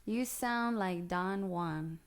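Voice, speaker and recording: A woman's voice: on the low side, slow pace, clear, resonant tone. A woman co-hosting a podcast in casual chat, playful and teasing her co-host. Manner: playful, teasing, laughs easily.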